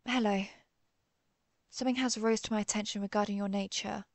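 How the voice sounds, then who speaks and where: A woman playing an earnest, conflicted vampire hunter who is herself a vampire, speaking formally with hesitant pauses in a dramatic audio roleplay. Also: medium pitch, fairly even intonation, dry recording, close to an everyday voice. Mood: concerned, sincere. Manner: formal, old-fashioned wording, hesitant pauses. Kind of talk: dramatic audio roleplay, one-sided dialogue.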